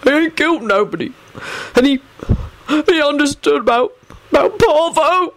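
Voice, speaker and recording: A woman's voice: fairly low pitch, sing-song, slow pace, a little mellow, no echo, full character voice. Woman acting hulking dim bald thug, blubbering. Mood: anguished, sobbing. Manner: rough uneducated slang, mumbling and bawling.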